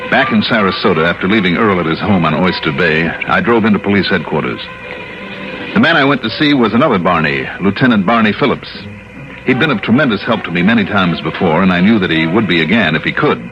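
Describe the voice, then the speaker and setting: An actor playing a cool, persistent, skeptical investigator in a vintage radio drama, measured and probing as he talks and narrates. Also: deep voice, expressive. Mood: cool narrating. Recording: dull vintage radio recording with music.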